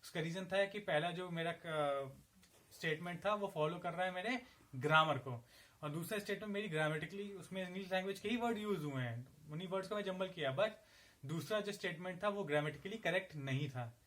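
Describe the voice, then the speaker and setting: A man's voice: high voice, a little fast, fairly bright tone. A male assistant professor with an Indian accent giving a classroom lecture in Hindi and English, explaining step by step and asking and answering his own questions.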